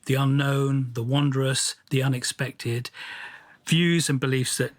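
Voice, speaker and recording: A man speaking articulately and earnestly in a radio interview, setting out his views in measured phrases. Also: mid-range voice, steady tone, dry recording.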